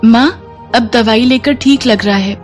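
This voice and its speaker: A woman acts out a poor little girl in a moral story. She sounds timid, polite and quietly wistful. Music is heard behind her. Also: fairly high pitch, a little slow, natural voice.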